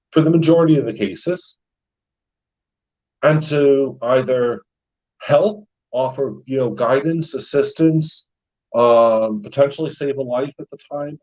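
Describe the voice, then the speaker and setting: A man's voice: mid-range voice, dark tone, lo-fi sound. A middle-aged American man, a retired paramedic, hosting a casual live stream and rambling with fillers and laughs. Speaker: YouTube channel host.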